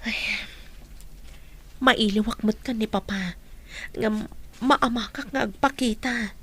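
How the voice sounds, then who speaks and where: A husky, slightly high voice of a woman acting as a protective mother in an Ilocano radio drama. She sounds tender and wounded, with an Ilocano accent. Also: slow, fairly bright tone, exaggerated voice. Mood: anxious, hurt. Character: poor, hurt, protective mother of a young child.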